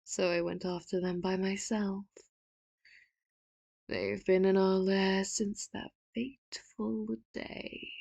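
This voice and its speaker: A woman voicing a manipulative, doting villain in an ASMR audio roleplay, speaking slowly and softly with sudden sharp threats, close to the mic. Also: mid-range voice, creaky, slightly rough, no echo, exaggerated voice. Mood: soft, menacing. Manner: slow, hushed, often whispered, turns sharp and loud for threats. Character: doting, manipulative villain, soft-spoken and menacing.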